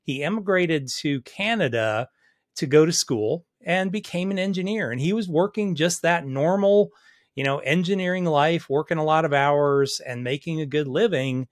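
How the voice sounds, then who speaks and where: A man's voice: a little high, moderate pace. A man hosting a podcast, introducing his guest in an upbeat, folksy way with many fillers. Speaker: podcast host.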